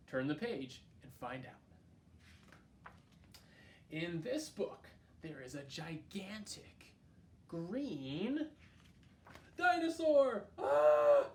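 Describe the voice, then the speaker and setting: A man's voice: high voice, slight background noise. A man hosting a children's storytime, reading a picture book aloud in a playful, exaggerated way and speaking directly to his young listeners. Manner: playful, exaggerated, expressive delivery; addresses young listeners directly with questions and prompts. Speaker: children's storytime host at a library. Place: library.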